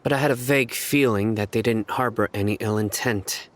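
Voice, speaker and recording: A man voicing a stern, vigilant enforcer in a video game, speaking in a measured, formal way. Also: lowish voice, natural voice. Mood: composed. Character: stern, vigilant male enforcer, guarded and composed.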